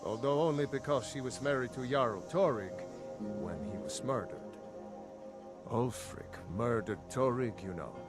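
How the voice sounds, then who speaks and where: A man's voice: mid-range voice, measured pace, loud music behind the voice, close to an everyday voice. A man playing a middle-aged village blacksmith in a fantasy game, earnest, opinionated and loyal to his empire. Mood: grave. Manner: earnest, opinionated, matter-of-fact. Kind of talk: fantasy video game dialogue.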